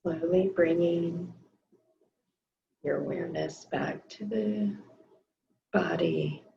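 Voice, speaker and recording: A woman guiding a restorative yoga class in a slow, soft, soothing way while losing her voice. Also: fairly low pitch, gravelly. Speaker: yoga instructor. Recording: slightly dull lo-fi audio.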